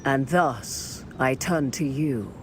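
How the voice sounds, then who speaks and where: An actress playing a tough but wistful soldier in a fantasy game, speaking with restraint. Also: female voice, a bass voice, takes it slowly, a little hiss or hum. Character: battle-hardened female soldier, wistful yet tough.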